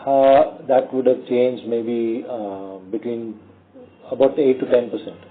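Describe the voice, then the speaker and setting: Halting Indian man on tinny phone line. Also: medium pitch, steady tone. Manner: halting, measured, hesitant phrasing with frequent pauses. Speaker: company executive answering analyst questions. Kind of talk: earnings call question and answer. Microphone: conference call phone line.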